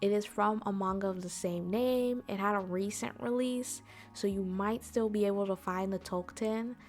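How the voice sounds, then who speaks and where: A woman's voice: medium pitch, normal speed, a little mellow, soft background music. A woman hosting an informal explainer talk in a chatty, conversational way, speaking directly to her listeners. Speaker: convention panel host.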